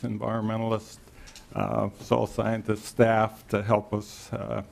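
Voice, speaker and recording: A man, a government agency manager, giving a formal, polite and measured presentation at a committee hearing, with some hesitant phrasing. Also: lowish voice, vocal fry. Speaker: state agriculture department section manager. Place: legislative committee hearing room.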